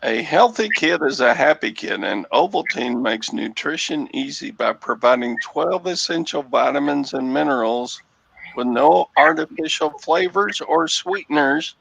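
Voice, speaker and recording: Gravelly Texan man, slow and dry. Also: a little high, expressive, a bit bright, slight background noise. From Texas. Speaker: reseller guest. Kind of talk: casual livestream chat. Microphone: video call. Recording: dull lo-fi video call audio.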